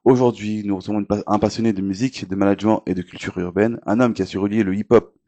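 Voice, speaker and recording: A man hosts a filmed interview in a chatty, jokey way, dropping in fillers. His voice sits lower than most men's. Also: steady tone, fast, mellow. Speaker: host. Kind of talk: TV interview hosting.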